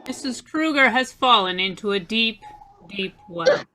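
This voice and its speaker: A woman's voice: a little high, animated, unhurried, noisy background. A woman playing a solemn, ominous announcer who narrates doom in scripted old-time radio style. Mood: ominous solemnity.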